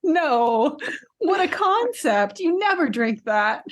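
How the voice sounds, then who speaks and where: A woman's voice: sits high, big pitch swings, slow pace. A woman co-hosting a podcast in casual chat, matter-of-fact with a dry, teasing streak. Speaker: podcast co-host.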